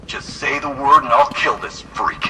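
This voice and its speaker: An actor playing an aggressive, bloodthirsty soldier with a boastful, threatening swagger in an audio drama. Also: male voice, medium pitch, normal speed, close to an everyday voice. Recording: roomy, distant, very noisy.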